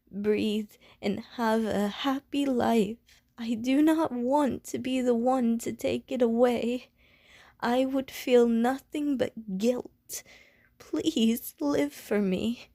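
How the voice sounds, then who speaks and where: Woman playing a slow, soft-toned, gentle Medusa. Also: fairly high pitch, no room sound, clean studio sound. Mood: pleading. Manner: formal, careful, stilted wording, pleading and apologetic. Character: gentle, anxious, lonely female Medusa wary of humans. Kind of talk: ASMR audio roleplay acting.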